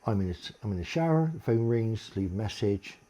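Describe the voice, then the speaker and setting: A deep-voiced man, in a blunt, sweary, aggrieved monologue complaining to camera. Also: expressive, a little fast, warm tone, lo-fi sound.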